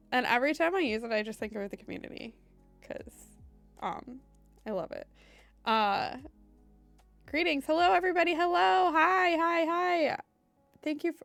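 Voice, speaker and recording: A woman live-streaming as a medium, chatting casually with her viewers in a meandering way, her voice hoarse and giving out at times. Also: high voice, no room sound. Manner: chatty and meandering, heavy on fillers like "um" and "like".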